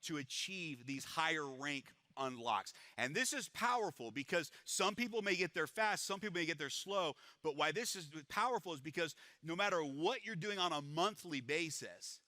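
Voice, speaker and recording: A man presenting a compensation plan at a live event, energetic and repeating how powerful it is. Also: normal speed, hardly any pauses, no echo. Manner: energetic salesman pitch, repeats "it's powerful".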